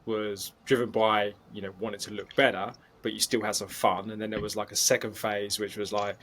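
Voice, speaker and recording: Thoughtful low-voiced man. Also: flat delivery, fairly quick, fairly bright tone, a little hiss or hum. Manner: thoughtful, stumbling restarts. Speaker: podcast co-host. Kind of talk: casual chat.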